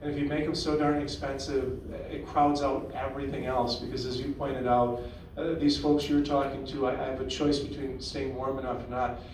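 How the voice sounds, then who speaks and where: A man's voice: mid-range voice, little pitch movement, moderate pace, darkish tone, a little hiss or hum. A man hosting a town hall meeting, talking in a conversational, opinionated way with frequent restarts. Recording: echoey room, far from the mic.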